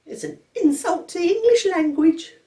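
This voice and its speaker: A woman's voice: extremely high pitch, expressive, normal speed, warm tone, far from the mic, voice pushed up. A woman voicing a stern, precocious talking baby boy who lectures his sister. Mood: bossy. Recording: roomy echo.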